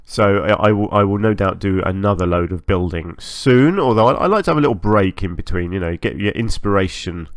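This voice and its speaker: A man giving casual, chatty commentary as host of a gaming channel, a little hoarse from a long recording session, hesitant and self-effacing. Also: low-pitched, expressive, fairly quick, few pauses, dry recording, studio quality. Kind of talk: casual game build commentary.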